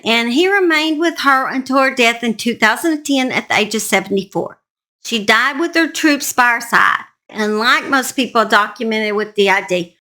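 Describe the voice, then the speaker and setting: A woman's voice: fairly high pitch, pushed and bright. An older woman with a Southern US accent, telling a true-crime story in a casual, folksy, emphatic way as a channel host.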